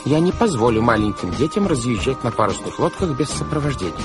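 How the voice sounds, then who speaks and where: Stern, flustered male guardian, done by a man narrator: high-pushed exclamatory voice, pompous, audiobook drama over clear music. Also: sing-song, fast. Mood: flustered, shouting. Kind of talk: theatrical audiobook character acting.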